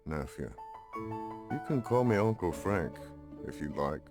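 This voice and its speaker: Very deep, dignified Lakota elder. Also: male voice, big pitch swings, music in the background, exaggerated voice. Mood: wry, welcoming. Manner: grave, deliberate. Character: dignified older Lakota uncle, solemn band leader. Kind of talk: video game cutscene acting.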